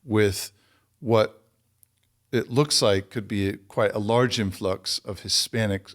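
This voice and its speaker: Hesitant low-voiced male host. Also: steady tone, slow pace. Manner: hesitant, searching for words with ums. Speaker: podcast host. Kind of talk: interview questions.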